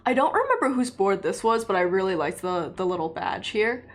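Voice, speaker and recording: A young woman from China, a native Mandarin speaker, chatting casually with viewers on a livestream in a relaxed, rambling way full of fillers. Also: mid-range voice, fairly quick, a little hiss or hum. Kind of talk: casual live-stream chat with viewers.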